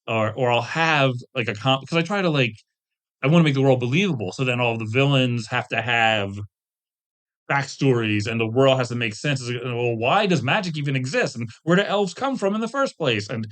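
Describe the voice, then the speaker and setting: A middle-aged man chatting casually as an interview guest, talking in a rambling, halting way and often correcting himself. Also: medium pitch, lively intonation, fairly bright tone. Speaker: podcast guest, tabletop game designer.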